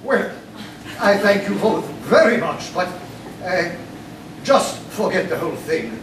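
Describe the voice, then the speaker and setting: Guy doing an exasperated sea captain, high voice swinging all over, comic radio play, echoey noisy room. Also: lively intonation, measured pace. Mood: exasperated, earnest. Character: exasperated sea captain, earnest storyteller, easily flustered. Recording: echoey room, distant mic, clear noise.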